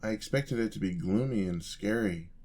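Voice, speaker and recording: A man's voice: on the low side, normal speed, darkish tone, natural voice. A man playing an eager, charming young apprentice paladin in a fantasy ASMR roleplay, talking to the listener in a polite, easily flustered way. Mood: hesitant, awed. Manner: polite, flustered, hesitant, chatty.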